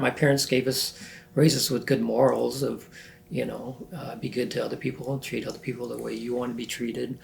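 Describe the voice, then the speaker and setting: A man in his sixties from northern California, recalling his life in a halting, self-correcting way as an oral history interviewee. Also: medium pitch, creaky. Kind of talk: reflective recollection.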